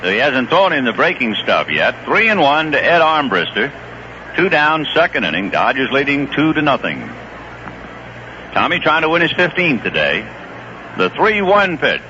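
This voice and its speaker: Lively 1970s radio baseball announcer, a man. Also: normal speed, slight background noise. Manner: relaxed, conversational storytelling between pitches. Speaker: play-by-play announcer. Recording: dull lo-fi 1970s radio broadcast.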